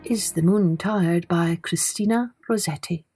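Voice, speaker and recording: A woman reading poems and stories aloud for children in an unhurried, dramatic storytelling style, with phrases that trail softly away. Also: on the low side, music in the background. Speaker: children's storytelling podcast host and reader.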